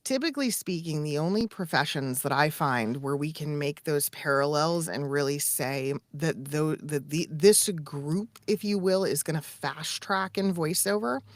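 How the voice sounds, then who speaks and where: Woman, low pitch, dry sound, conversational coach, explanatory delivery. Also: lively intonation, measured pace, dry recording. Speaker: voiceover coach and co-host. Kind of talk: conversational live coaching discussion.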